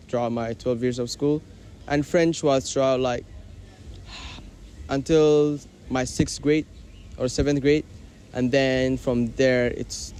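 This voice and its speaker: Brief: Arabic-native man, measured street answers, faint traffic. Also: medium pitch, darkish tone. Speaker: street interviewee. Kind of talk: casual street interview answers.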